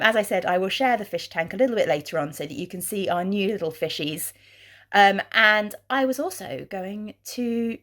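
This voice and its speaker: A woman vlogging in a chatty, rambling way, her voice husky from congestion. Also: mid-range voice. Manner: rambling, chatty, with many ums. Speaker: craft vlogger. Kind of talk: casual vlog chat. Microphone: handheld camera.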